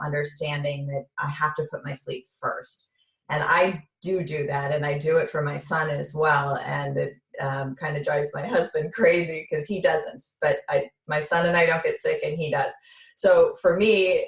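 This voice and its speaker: A woman hosting an interview, chatty and enthusiastic, using many fillers as she talks. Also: sits low. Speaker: host. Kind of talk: interview conversation. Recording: roomy, distant from the mic.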